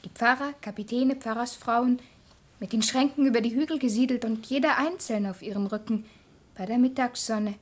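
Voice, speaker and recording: Enigmatic child for literary radio play, female actor. High register, lyrical, cryptic, terse. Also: moderate pace, a little mellow, close to an everyday voice. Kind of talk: literary radio drama acting.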